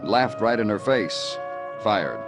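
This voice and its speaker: A weary American policeman, speaking in a low voice. He is terse, dry and hard-boiled, in the manner of old radio crime drama, with prominent music behind him. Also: animated, takes it slowly. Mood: clipped narration. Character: terse, world-weary male police detective. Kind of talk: 1940s-50s American radio crime drama acting and narration. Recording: old radio broadcast recording.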